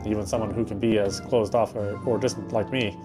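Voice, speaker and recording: Man narrating over clear game music. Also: on the low side, fairly even intonation, fairly quick. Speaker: gamer reading a visual novel aloud. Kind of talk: scripted first-person narration, read aloud.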